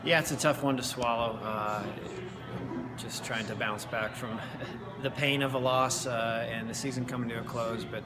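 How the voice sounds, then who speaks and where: A man's voice: mid-range voice, steady tone, normal speed, noisy background. A man in his thirties, a head soccer coach, giving an earnest and reflective post-game interview with many fillers and restarts, filmed on a phone in an indoor hallway.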